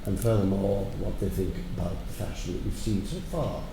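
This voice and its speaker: An older man hosting a live panel discussion, speaking in a measured, genteel way that trails off softly. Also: low voice, steady tone, vocal fry. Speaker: panel host. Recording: echoey studio with faint noise.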